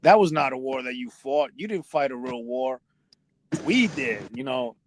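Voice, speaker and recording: A man's voice: medium pitch, animated, moderate pace, noisy background. A middle-aged man telling a rambling personal story in casual conversation, often restarting his sentences. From United States. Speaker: podcast host.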